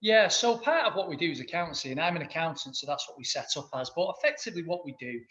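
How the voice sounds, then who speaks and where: A man's voice: a little high, lively intonation, fast, bright, forceful tone. Mancunian man, upbeat, muffled line. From Manchester. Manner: enthusiastic, rambling with many restarts. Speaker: guest, accountant and business owner. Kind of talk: interview answers.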